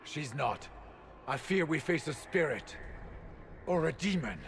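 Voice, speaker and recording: Gravelly, grave warrior. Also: male voice, a little high, animated, measured pace, a little hiss or hum. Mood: wary, grave. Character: grave, suspicious, devout male warrior. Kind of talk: dramatic video game dialogue. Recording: game audio with loud background ambience.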